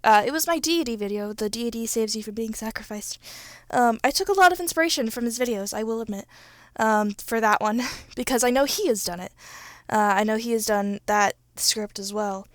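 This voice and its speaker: A young adult woman who creates ASMR roleplays, chatting off script to listeners in an informal, halting, self-correcting way. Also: a little high, no echo, clean studio sound. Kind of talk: casual off-script chat to listeners.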